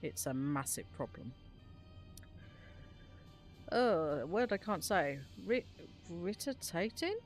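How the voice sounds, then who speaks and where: A woman's voice: fairly low pitch, very animated, takes it slowly, pauses often. A woman chatting casually and playfully as she plays on a gaming live stream. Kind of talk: casual live stream chat while playing. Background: faint music.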